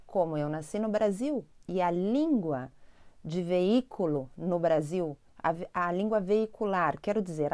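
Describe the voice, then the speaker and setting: A woman's voice: medium pitch, darkish tone. A woman from Porto Alegre with a gaúcho Brazilian accent, hosting a spiritual channel and talking to her viewers in a reflective monologue, posing questions and answering them herself. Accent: Gaúcho Brazilian Portuguese. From Porto Alegre, southern Brazil. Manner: talks directly to viewers, asks and answers herself.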